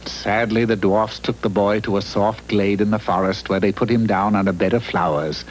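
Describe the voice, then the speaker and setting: A man's voice: lowish voice, steady tone, normal speed, close to an everyday voice, rough recording. A man narrating a mock fairy-tale cartoon in a wry, theatrical storyteller's voice, on a hissy old soundtrack.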